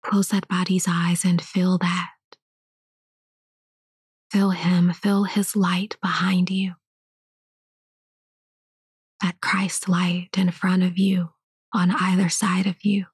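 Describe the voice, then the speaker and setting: Female spiritual teacher, soft unvoiced whisper, low and even, guided meditation. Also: slow, pauses often, breathy edge, dry recording, clean studio sound.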